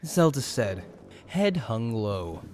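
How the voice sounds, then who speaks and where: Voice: medium pitch, very animated, slow, darkish tone, a little hiss or hum. A narrator reading a scripted story for an audio drama in storybook style.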